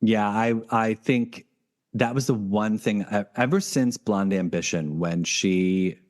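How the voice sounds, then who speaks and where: A man's voice: lowish voice, takes it slowly, a little mellow. A man hosting a podcast, talking casually in a rambling way with many restarts. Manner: rambling, full of fillers and restarts. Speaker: podcast host.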